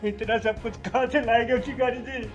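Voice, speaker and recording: A man's voice: extremely high pitch, steady tone, moderate pace, highs cut off, soft background music. A man acting a greedy, cowardly older father-in-law, apologetic and placating, in a melodramatic short film. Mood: wheedling, evasive. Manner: wheedling, apologetic.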